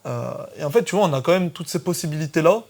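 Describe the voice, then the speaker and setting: A man hosting a podcast in a studio, chatting casually and pausing to explain terms for listeners. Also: on the high side, animated, vocal fry, a little mellow. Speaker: podcast host.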